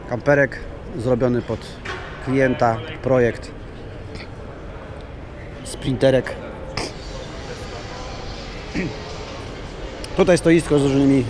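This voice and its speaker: A hoarse man slowly walking through a big indoor exhibition hall, giving casual chuckling commentary for a video. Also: medium pitch, background noise. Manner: slow, rambling, chuckling asides. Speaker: vlogger touring a trade show.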